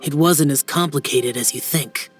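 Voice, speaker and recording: A man plays a brooding young immortal guardian in a video game. He is terse, solemn and reserved, with soft music behind the voice. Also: a little high, normal speed, lightly acted. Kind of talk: game cutscene dub acting.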